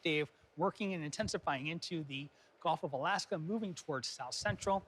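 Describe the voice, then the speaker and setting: A man's voice: a little high, fairly quick, fairly bright tone, breathy edge. A man presenting weather and chatting on a local TV news show. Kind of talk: local news forecast and chat. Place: TV studio.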